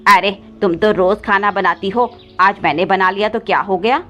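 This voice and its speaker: A woman's voice: medium pitch, normal speed, pushed and bright, close to an everyday voice. Woman as a sly, cruel crow stepfather. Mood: falsely sweet. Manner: scolding, sly. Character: cruel, scheming, wheedling male crow stepfather. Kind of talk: cartoon moral story voice acting. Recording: slightly dull audio with background music.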